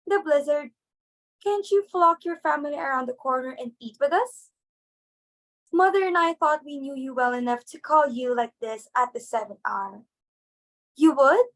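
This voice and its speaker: Woman playing a high-voiced, flustered society daughter. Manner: excitable, pleading, theatrical. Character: anxious, bungling young society daughter, eager and flustered. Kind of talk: dramatic reading of a stage comedy.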